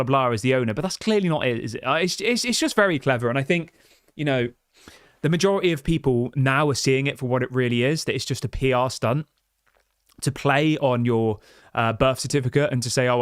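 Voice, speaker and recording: A man's voice: mid-range voice, a little fast, clear, resonant tone, dry recording. Passionate ranting man on a dry mic. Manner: passionate, ranting, many restarts and rhetorical questions. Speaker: football fan channel host. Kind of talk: livestream rant reading viewer chats.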